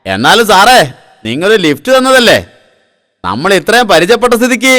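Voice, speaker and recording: A man's voice: high-pitched, very animated, a little fast, bright, forceful tone, long reverb tail, full character voice. A man voicing a wily, falsely polite hitchhiking car thief in a comic cartoon, coaxing and ingratiating. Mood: sly, coaxing.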